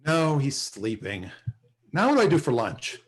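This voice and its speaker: A man's voice: medium pitch, wildly swooping pitch, normal speed. An actor playing a self-important, sarcastic male salesman in a sitcom table read, recorded on a video call. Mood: hapless, wry. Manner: sarcastic, wheedling, hesitant.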